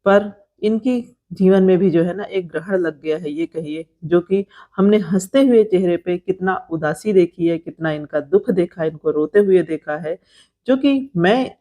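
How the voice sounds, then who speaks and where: A woman's voice: lowish voice, normal speed, warm tone. A woman with an Indian accent giving informal vlog commentary on creator gossip, explaining things conversationally to viewers and repeating phrases for emphasis. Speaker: vlogger commenting on creator drama.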